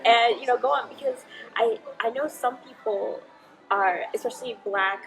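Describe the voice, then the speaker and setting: A woman's voice: fairly high pitch, normal speed, creaky, slight background noise, low-quality recording. A woman from the United States chatting as an interview guest, laughing often and using many fillers. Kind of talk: casual interview chat.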